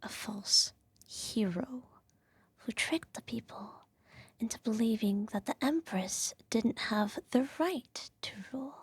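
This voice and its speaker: A woman playing a regal, self-righteous evil empress who soothes her child with a whispered ASMR bedtime story in a measured, gently condescending way. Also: on the high side, no room sound, heavily acted. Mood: solemn storytelling. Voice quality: soft, breathy whisper. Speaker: ASMR roleplay performer.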